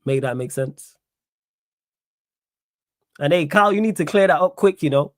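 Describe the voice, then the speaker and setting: A man's voice: a little high, expressive, normal speed, fairly bright tone, no echo, studio quality. A young man with a Manchester accent hosting a live stream, reading chat aloud and talking back indignantly, repeating himself for emphasis. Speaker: livestream host.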